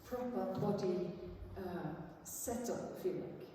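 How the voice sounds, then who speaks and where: A woman from Iceland, a music teacher explaining things to a conference audience in an informal, halting way. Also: mid-range voice, mellow. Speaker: music teacher and viola player presenting. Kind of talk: conference talk with audience questions. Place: large indoor conference hall. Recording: echoey hall from far off, faint noise.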